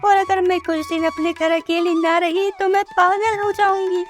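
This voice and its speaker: Woman as a nagging middle-aged mother-in-law, very high voice, commanding, exasperated, cartoon story, music underneath. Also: level tone, moderate pace. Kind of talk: animated Hindi moral story dialogue.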